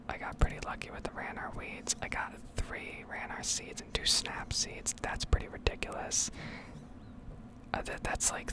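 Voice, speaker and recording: A woman speaks in a hushed, breathy whisper close to the microphone. She hosts a gaming ASMR video, rambling along without hurry and thinking aloud as she comments on play.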